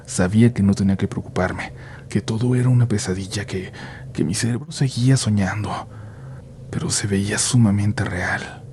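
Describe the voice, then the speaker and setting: A man narrating a first-person horror story in a hushed, measured way, sometimes dropping to a near-whisper. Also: on the low side, slight background noise.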